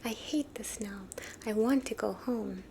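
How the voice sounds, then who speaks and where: A woman voicing a small, timid young male deer in a soft, squeaky whisper, as part of a whispered storybook reading. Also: unhurried, creaky, slight background noise. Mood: startled.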